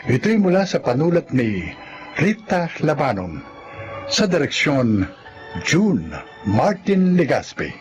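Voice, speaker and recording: A man announcing the scripted outro and credits of a radio drama in a measured, dramatic way. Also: fairly high pitch, wildly swooping pitch, muffled recording. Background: music.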